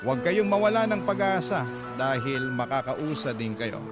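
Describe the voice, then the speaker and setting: A man playing a sincere, wistful married letter writer, narrating his own story for a radio drama in a measured, earnest way with pauses. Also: medium pitch, natural voice. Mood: grateful, earnest. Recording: loud music bed behind voice.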